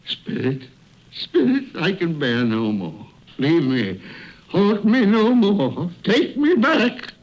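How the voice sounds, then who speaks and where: An actor playing an anguished old miser pleading dramatically in a 1930s radio drama. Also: male voice, high-pitched, big pitch swings, slow pace, exaggerated voice. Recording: muffled 1930s radio broadcast.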